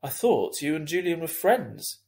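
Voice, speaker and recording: A man playing an earnest, lenient school head in a children's story, reasoning in a measured, hesitant way. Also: on the high side, very animated, gravelly, a little mellow, voice pushed up, exaggerated voice. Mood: stern concern.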